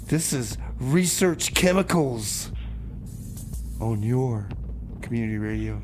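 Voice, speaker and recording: A man hosting a community radio show, chatting casually and haltingly in a rambling, informal way with comedic banter. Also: mid-range voice, expressive, music in the background. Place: radio studio.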